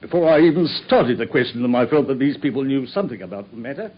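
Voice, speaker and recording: An actor playing a boastful, self-satisfied Victorian police inspector with a British accent, husky and excitable, in a radio drama. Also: male voice, medium pitch, fast. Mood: self-important recounting. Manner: pompous, excitable. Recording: muffled radio recording.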